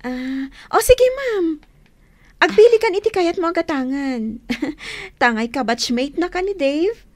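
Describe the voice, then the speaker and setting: A woman's voice: very high voice, sing-song, measured pace, heavily acted. A woman playing a cheerful, polite young saleswoman with a giggly, perky manner in an Ilocano radio drama.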